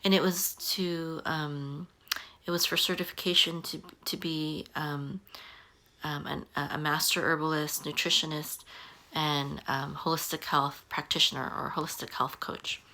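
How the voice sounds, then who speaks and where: A woman in her early forties giving casual advice to camera, halting often with ums and restarting her sentences. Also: low voice, fairly even intonation, sharp tone. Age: 40s. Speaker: online business coach. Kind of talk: casual talking-head advice.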